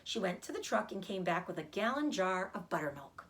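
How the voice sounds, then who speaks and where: A woman narrating a children's storybook aloud in an expressive, animated way, like a teacher reading to a class. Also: lowish voice, brisk. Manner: animated, acts out dialogue.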